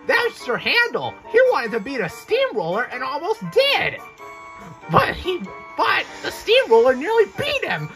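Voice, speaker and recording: A cheeky little boy pipes up in a very high, bright voice. He gossips with excited mockery, in storybook-parody style. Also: measured pace, soft background music, heavily acted. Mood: gleeful mockery. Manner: excited, mocking.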